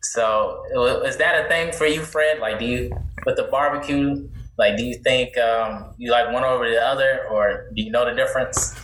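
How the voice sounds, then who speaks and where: A man's voice: medium pitch, normal speed, a little hiss or hum. Easygoing man asking questions, roomy sound. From South Carolina. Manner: relaxed questioning with fillers. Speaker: podcast host. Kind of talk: casual chat. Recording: roomy echo.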